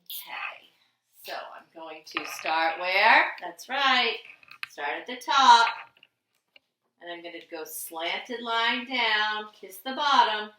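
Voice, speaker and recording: A woman's voice: medium pitch, lively intonation, slow, pushed and bright, far from the mic, slight background noise. A woman teacher giving a patient handwriting lesson to young children, in a sing-song way with simple repeated phrases and questions. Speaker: primary school teacher. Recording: echoey room, voice away from the mic.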